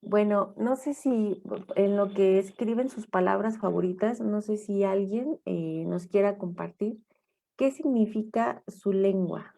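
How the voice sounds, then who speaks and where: Woman teacher, mellow and unhurried, on a video call. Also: mid-range voice, vocal fry. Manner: slow, encouraging, halting. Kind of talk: online class talk. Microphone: computer mic on a video call.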